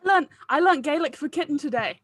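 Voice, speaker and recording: A woman's voice: high-pitched, lively intonation, brisk, fairly bright tone, dry recording. A woman directing a table read, chatting casually and giving encouraging, informal feedback. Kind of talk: casual group chat and feedback.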